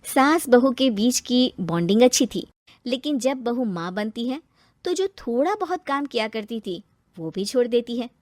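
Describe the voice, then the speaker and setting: A woman's voice: a little high, lively intonation, normal speed, darkish tone, no room sound, clean studio sound. A woman narrator reading a scripted moral story for a cartoon.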